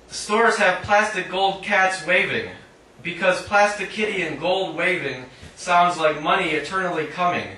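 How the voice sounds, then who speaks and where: Male poet, live reading, wry delivery, slightly high voice, recorded at a distance with strong room echo. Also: pushed and bright. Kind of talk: live poetry reading with casual asides. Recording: roomy, distant mic, faint noise.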